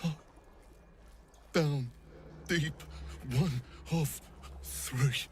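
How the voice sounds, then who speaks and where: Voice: mid-range voice, very slow, a little mellow, slight background noise. A performer voicing an eerie alien brain servant that answers in single words.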